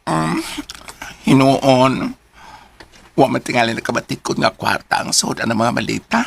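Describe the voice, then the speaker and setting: A Filipino woman playing an excitable, suspicious household driver in an exaggerated, exclamatory radio drama style. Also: a bass voice, moderate pace, vocal fry, slightly rough, full character voice.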